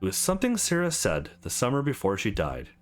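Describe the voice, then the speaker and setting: A man voicing a shrewd, sentimental old lawyer in a visual novel, speaking formally and with measured care. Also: mid-range voice, huge pitch swings, faint music behind. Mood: measured, defensive.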